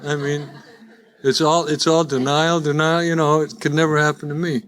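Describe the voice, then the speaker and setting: A man's voice: a little high, little pitch movement, moderate pace, a bit bright. A man in his seventies with a Chicago accent, giving live personal testimony in a wry, folksy, conversational storytelling way. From Chicago, USA. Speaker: guest speaker at a recovery meeting. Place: live in-person meeting before an audience.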